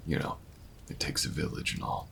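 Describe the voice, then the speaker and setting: A man playing an easygoing, weathered alien bounty hunter in an intimate audio roleplay, talking in a folksy, unhurried way with murmured asides. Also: a bit bright, a little hiss or hum, close to an everyday voice. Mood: wistful, reflective.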